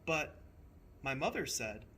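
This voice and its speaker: Male storyteller, mid-pitched and forceful, theatrical children's book delivery, deliberate pauses, slight background noise. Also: sing-song. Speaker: storyteller playing a train conductor. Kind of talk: expressive children's storybook narration.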